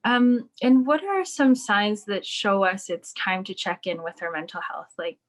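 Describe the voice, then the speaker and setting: A woman's voice: medium pitch, a little slow. A woman hosting a podcast interview in a casual, informal, affirming way. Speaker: podcast host.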